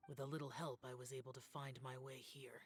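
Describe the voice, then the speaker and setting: A man acts as a brooding young immortal warrior in a fantasy game. He is grave and aloof, with a restrained, mid-pitched delivery and music playing behind him. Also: flat delivery, a little fast, lightly acted. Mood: guarded, terse. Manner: grave, terse, guarded. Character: solemn, aloof young male immortal demon-slaying warrior burdened by karma. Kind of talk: scripted fantasy game acting. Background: background music.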